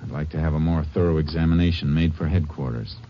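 A calm, plainspoken Texas Ranger with a very low voice and polite manners. The sound is muffled with a faint hiss, like a 1950s radio broadcast. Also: male voice, fast. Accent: Texan. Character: steady Texas Ranger investigating a murder. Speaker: lead actor in a radio drama. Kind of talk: 1950s radio drama acting and narration.

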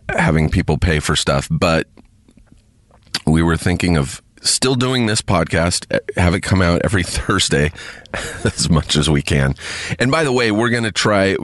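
A man hosting a podcast alone, chatting casually to his listeners in a halting, rambling way with lots of fillers, a bit sniffly from congestion. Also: low-pitched, no echo, clean studio sound. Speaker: podcast host. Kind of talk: casual solo chat to listeners.

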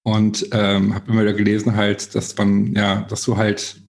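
Creaky-voiced man hosting a podcast. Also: lowish voice, completely flat delivery, normal speed. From Essen, Germany. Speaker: podcast host. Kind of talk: conversational interview.

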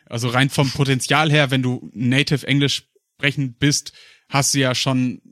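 A man's voice: mid-range voice, little pitch movement, normal speed, a bit bright, no room sound, clean studio sound. A young German man co-hosting a podcast, telling rambling personal stories in casual chat, with fillers and frequent laughs. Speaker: podcast co-host.

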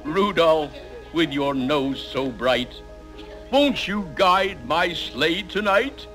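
Bright-voiced jolly old Santa. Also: male voice, fairly high pitch, lively intonation, takes it slowly, faint music behind, full character voice. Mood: solemn, warm. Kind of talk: animated holiday special acting.

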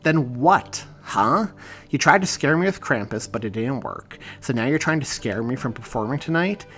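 A man's voice: medium pitch, huge pitch swings, fairly quick, vocal fry, a bit bright, soft background music, full character voice. A man voicing a meek but defiant young boy who is a drummer, speaking in heated retorts. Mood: angry, defiant. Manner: rising, heated confrontation.

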